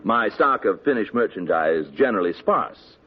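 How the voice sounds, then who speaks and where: An actor playing a fussy, pompous tailor who talks down to the detective in a haughty, condescending way, in a 1950s radio drama. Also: male voice, fairly low pitch, lively intonation, moderate pace, a little hiss or hum, close to an everyday voice. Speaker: custom tailor and client. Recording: dull old radio broadcast.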